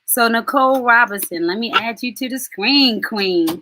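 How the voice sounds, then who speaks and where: A middle-aged woman hosting a live online stream, chatty and affectionate, with quick asides. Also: on the high side, sharp tone, a little hiss or hum. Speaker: host and soul mentor.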